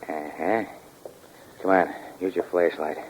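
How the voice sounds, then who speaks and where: Very deep-voiced bossy burglar. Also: male voice, fairly even intonation, measured pace. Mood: hushed, businesslike. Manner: clipped, bossy. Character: methodical, bossy male burglar, impatient planner. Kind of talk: 1940s radio crime drama acting. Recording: dull, noisy vintage radio recording.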